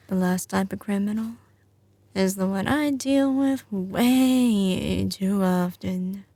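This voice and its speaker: A woman plays a young Southern mafia heiress in an intimate ASMR roleplay, tough yet doting on her lover. Her drawl is slow and lower-pitched fry creeps into it often. The sound is close and dry, and she leans on teasing pet names with assured ease. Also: a little mellow, no echo. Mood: cool, disdainful. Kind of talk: ASMR roleplay, intimate scripted monologue to the listener.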